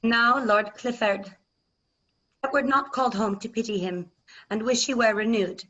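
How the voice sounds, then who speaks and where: Resolute, mourning queen played by a woman, classical stage verse, unhurried delivery, dulled video-call audio. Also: on the high side, a bit bright, dull audio. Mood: resolute, commanding. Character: Queen Margaret, resolute grieving English queen.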